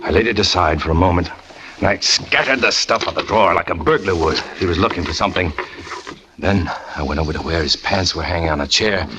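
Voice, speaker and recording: A man's voice: low voice, quick pace, noisy background, lightly acted. An actor playing a jealous, tormented young circus catcher who narrates his own story in a 1940s radio drama. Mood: brooding. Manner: brooding first-person confession, rising to anguish. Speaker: narrator and lead character.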